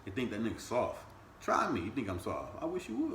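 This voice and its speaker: A man in his thirties, co-hosting a podcast in casual chat with his partner, animated and jumping up high in pitch when he teases. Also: brisk, roomy sound, a little hiss or hum. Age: 30s. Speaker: podcast co-host.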